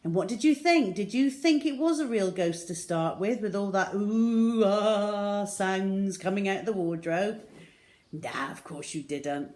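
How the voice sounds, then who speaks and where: A woman reading a story to children as host, dramatic, with a way of dropping into suspenseful hush. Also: medium pitch, unhurried. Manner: dramatic, with hushed suspenseful drops.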